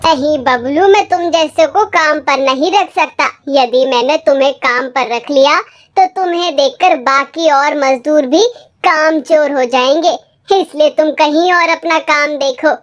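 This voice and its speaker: A high-voiced storyteller voicing a blunt, distrustful village landlord with a disdainful manner. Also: unhurried. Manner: disdainful, refusing. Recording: music under the voice.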